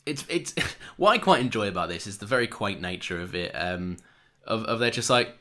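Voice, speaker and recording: A man's voice: on the low side, moderate pace. A man co-hosting a casual podcast chat, joking and laughing often. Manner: animated, laughs often, jokey. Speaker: podcast co-host.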